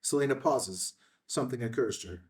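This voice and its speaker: Measured male script narrator, distant mic. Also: mid-range voice. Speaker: narrator reading stage directions. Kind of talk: scripted narration at a table read.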